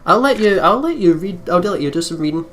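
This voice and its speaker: A man's voice: sits high, lively intonation, quick pace, a bit bright. A man hosting a casual let's play, chatting and reacting in a jokey way. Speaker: gaming video host. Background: game music.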